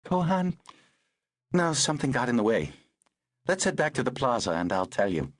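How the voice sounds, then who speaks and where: A male narrator voicing a brisk, wry aristocratic older woman who talks in a clipped, commanding way. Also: medium pitch, sing-song, dry recording, clean studio sound, voice pushed up, close to an everyday voice.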